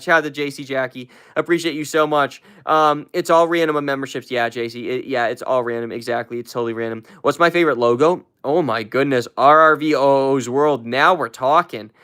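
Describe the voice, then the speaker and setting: A live stream host chatting with viewers, rambling with many fillers and repeats, and shooting way up in pitch to hype up gifts and shout out names. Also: moderate pace, few pauses, smooth. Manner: hyped, shouting out viewers, jumps way up in pitch when thanking for gifts.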